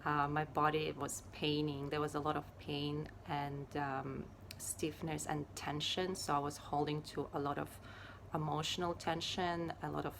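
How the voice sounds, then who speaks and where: A woman from Ukraine, a yoga teacher talking casually and unhurriedly, pausing often with fillers. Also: low voice, flat delivery, vocal fry, noisy background. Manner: unhurried and hesitant, many fillers. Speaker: yoga teacher and Ayurveda therapist. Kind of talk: casual personal talk to camera.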